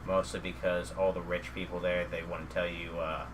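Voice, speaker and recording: A man's voice: low-pitched, flat delivery, normal speed, recorded from a distance, noisy background. A man, co-hosting a podcast as casual chat, telling stories and cracking dry jokes. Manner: dry joking, storytelling with fillers. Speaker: podcast co-host.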